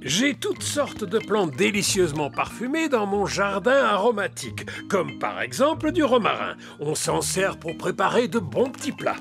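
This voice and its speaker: An actor playing a kindly old grandpa pig in a preschool cartoon, explaining things gently to children. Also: male voice, high-pitched, big pitch swings, normal speed, creaky, hardly any pauses, music in the background, heavily acted. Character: kindly old grandpa pig who loves his garden. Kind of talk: dubbed preschool cartoon acting.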